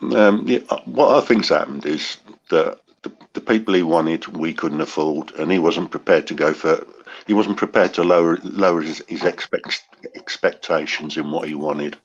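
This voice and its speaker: A man's voice: low-pitched, normal speed, husky, highs cut off. A man in a casual football chat, hesitant with many fillers, calling people mate. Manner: hesitant, lots of ums, calls people mate.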